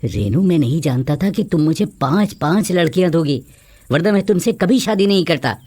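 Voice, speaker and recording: A woman's voice: low-pitched, expressive, normal speed, darkish tone, pitched below the performer's own voice. A woman voicing a selfish, callous husband in a cartoon story, with a blunt manner. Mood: angry, cold. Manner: blunt, scolding.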